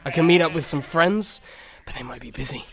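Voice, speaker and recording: Man playing a mopey, higher-voiced horse. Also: brisk, fairly bright tone, close to an everyday voice. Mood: bored, sarcastic. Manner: sighing, rambling self-talk, sarcastic. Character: mopey young male horse, dreaming musician, anxious. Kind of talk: cartoon-like audio story acting.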